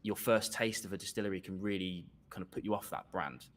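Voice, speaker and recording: A man's voice: sits low, fairly even intonation, a little fast. A man from England chatting as a guest over a video call, enthusiastic, with frequent restarts and fillers. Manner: enthusiastic, repeats words while restarting, many fillers. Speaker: guest reviewer. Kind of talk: casual conversation.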